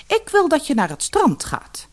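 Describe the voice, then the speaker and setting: A woman's voice: high voice, wildly swooping pitch, normal speed, slight background noise, voice pushed up. A woman voicing a demanding, never-satisfied fisherman's wife with haughty airs in a fairy-tale audiobook. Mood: bossy. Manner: imperious, complaining.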